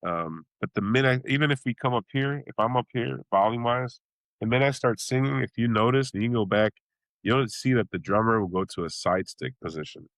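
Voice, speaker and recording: A man from Chicago, a blues singer and bandleader, talking casually in an interview, rambling with many restarts and acting out reactions in sudden high exclamations. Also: a little fast, a bit bright, no room sound.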